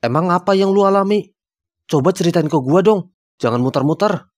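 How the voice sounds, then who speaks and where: A man's voice: sits high, lively intonation, fairly quick, no echo, studio quality. A man with a Jakarta accent playing a resolute young amateur investigator in a cartoon ghost story. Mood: concerned. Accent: Jakarta Indonesian. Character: resolute young male friend investigating a haunting. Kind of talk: animated horror-comedy voice acting.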